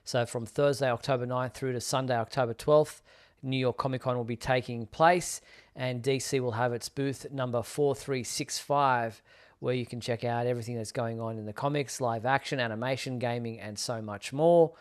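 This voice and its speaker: A man's voice: medium pitch, fairly even intonation, normal speed, clean tone. A man hosting a fan live show, presenting news casually in an easygoing, meandering way.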